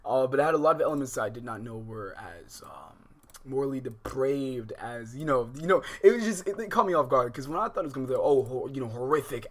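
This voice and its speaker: A man with an American accent hosts a casual livestream in a medium-pitched voice. He speaks in heavy slang with plenty of swearing, wandering through asides as he addresses his viewers. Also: fairly bright tone. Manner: slangy, profane, rambling with frequent asides. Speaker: livestream host.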